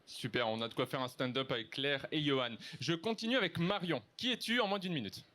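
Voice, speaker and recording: A man's voice: on the high side, a little fast, pushed and bright. A man moderating a live conference panel, speaking to the audience in an informal, chatty way. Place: live festival stage with audience.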